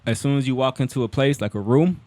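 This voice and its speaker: A man riffing in a casual podcast chat, rattling along at a fast clip. His voice sits close and dry with almost no room sound, and he stays loose and slangy, laughing through his points. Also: mid-range voice, no room sound. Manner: slangy, animated, laughs while talking. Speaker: podcast co-host.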